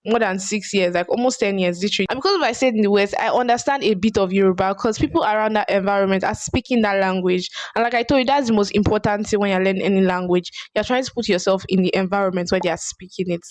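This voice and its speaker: A young woman with a Nigerian accent talks earnestly to her viewers, often circling back to restart a phrase. Her voice sits at an ordinary pitch. It is recorded close and dry, with no room sound. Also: fast talker, hardly any pauses, dry recording. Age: young adult. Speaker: vlogger. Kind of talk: casual advice vlog.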